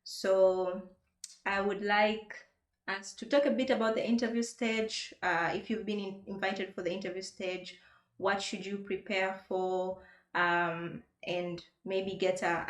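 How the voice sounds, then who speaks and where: A woman's voice: medium pitch, fairly even intonation, measured pace. Upbeat woman host in an echoey room. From Tanzania. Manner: upbeat, laughs often. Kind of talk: casual interview chat.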